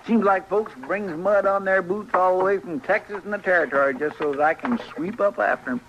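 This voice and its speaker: A man's voice: high voice, fairly quick, muffled recording, natural voice. A man acting a fussy, good-natured marshal's helper in an old radio western, talking in a folksy, earnest way with a rural American drawl. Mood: folksy, chatty. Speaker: radio drama actor. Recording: noisy vintage radio recording.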